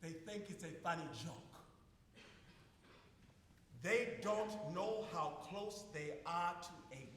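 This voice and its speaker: A man performing a theatrical stage monologue as an impassioned South African schoolteacher of fifty-seven, declamatory, with dramatic pauses. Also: high-pitched, a bit bright, full character voice. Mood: grave, confiding. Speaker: drama student actor. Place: large hall stage at commencement ceremony. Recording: echoey auditorium, distant, some noise.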